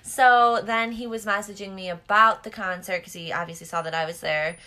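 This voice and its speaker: A woman's voice: mid-range voice, fairly even intonation, fairly quick, pushed and bright. A young woman with a slight lisp, co-hosting a podcast, telling stories in a casual, gossipy, candid way with frequent giggles. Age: young adult. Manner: giggly, candid, sweary oversharer. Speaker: podcast co-host. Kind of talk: casual gossipy chat and storytelling.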